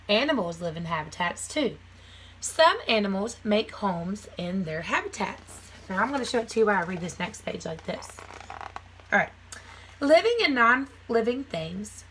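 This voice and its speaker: A woman's voice: mid-range voice, a bit bright, a little hiss or hum, poor audio. A woman teacher reading a children's nonfiction book aloud, phrasing it simply for young listeners with casual asides.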